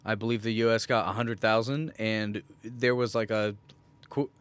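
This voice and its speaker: A man's voice: fairly low pitch, fairly even intonation, normal speed, clear, resonant tone, no room sound. Joking man chatting on a podcast, dry close sound. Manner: joking, bantering. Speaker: podcast co-host. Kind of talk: casual chat.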